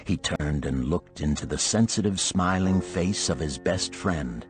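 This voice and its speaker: Very low-voiced man narrating a story. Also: a little slow, over music. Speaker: audiobook narrator.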